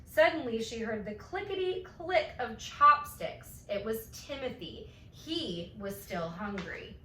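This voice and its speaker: A woman reading a children's bedtime story aloud with expressive storytelling delivery. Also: fairly high pitch, slow pace. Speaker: storybook reader. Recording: roomy, distant mic, faint noise.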